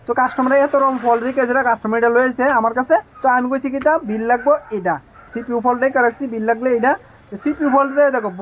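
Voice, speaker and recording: Male, Tripura Bengali accent, extremely high pitch, rapid delivery, informal repair technician demo, emphatic and repetitive. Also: a bit bright, slight background noise, rough recording.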